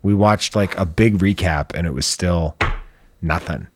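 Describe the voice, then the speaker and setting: A man's voice: sits low, a little slow, no room sound. A man co-hosting a podcast, chatting casually in a laid-back, complaining way and swearing freely. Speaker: podcast co-host. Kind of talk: casual chat.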